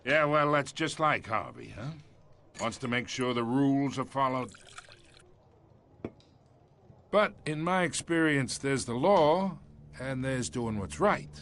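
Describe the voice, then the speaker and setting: An actor playing a menacing middle-aged crime boss in a video game, talking folksy gangster slang with a threatening edge. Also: male voice, mid-range voice, very animated, a little slow, fairly bright tone, slight background noise. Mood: condescending, jovial. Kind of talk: video game dramatic acting.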